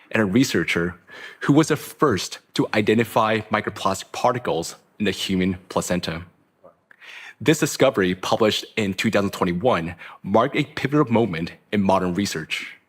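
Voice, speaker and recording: Sex: man. Pitch: low. Style: formal conference introduction. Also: a bit bright, husky. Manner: formal, measured, addresses the audience as colleagues. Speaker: speaker introducing a guest. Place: conference hall.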